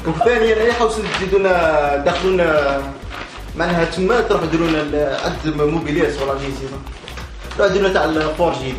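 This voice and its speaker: Guy playing slangy algerian slacker wanting perks, comedy skit, super fast, roomy with faint music. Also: a little high, close to an everyday voice. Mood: demanding. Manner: slangy, mixes in French loanwords. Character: demanding idler who wants perks. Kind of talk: comedy sketch dialogue. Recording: echoey room, faint music.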